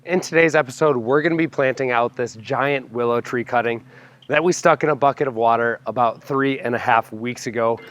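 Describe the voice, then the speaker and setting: Hoarse man with a cold, vlogging outdoors. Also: mid-range voice, brisk, fairly bright tone, no echo. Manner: enthusiastic, explaining step by step. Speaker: homestead channel host. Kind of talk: casual gardening vlog. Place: backyard, outdoors.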